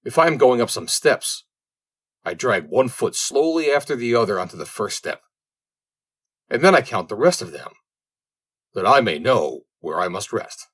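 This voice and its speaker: A man's voice: medium pitch, sing-song, normal speed, long pauses, fairly bright tone, breathy edge. A man voicing a proudly slothful servant in a storybook, scolding in a drawling, pompous way. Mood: smug, lazy.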